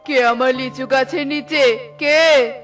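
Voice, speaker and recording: A woman acts a grumpy eagle in a children's cartoon. The bird scolds suspiciously in a high, slow voice over faint music. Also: fairly even intonation. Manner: scolding, questioning. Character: grumpy, suspicious eagle guarding a lychee tree. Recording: music behind the voice.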